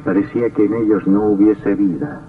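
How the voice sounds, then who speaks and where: Man, horror drama narration, deep, dramatic, ominous, distant old radio recording, faint music. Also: flat delivery, moderate pace, phone-quality sound.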